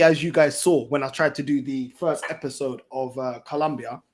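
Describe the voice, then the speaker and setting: A man with a London accent chatting casually as a livestream host, in a slangy, repetitive, emphatic way. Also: on the high side. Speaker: fan channel host.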